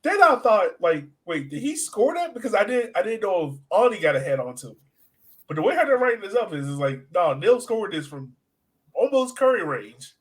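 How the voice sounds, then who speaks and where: Animated man chatting casually about sport. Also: high voice, brisk, low-quality recording. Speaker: co-host of a soccer fan podcast. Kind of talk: casual sports chat, match analysis.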